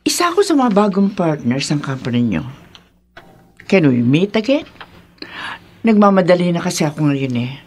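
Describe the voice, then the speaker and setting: A woman's voice: lowish voice, very animated, moderate pace, long pauses, a little mellow. An actress playing a poised, well-to-do middle-aged businesswoman and mother in a radio drama, with a Filipino accent. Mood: eager, polished.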